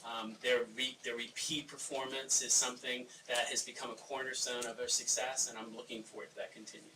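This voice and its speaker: A man's voice: medium pitch, little pitch movement, a little fast. A man giving an informal address at a town meeting as a supervisor presenting staff. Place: town board meeting room. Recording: distant echoey meeting room microphone, noisy.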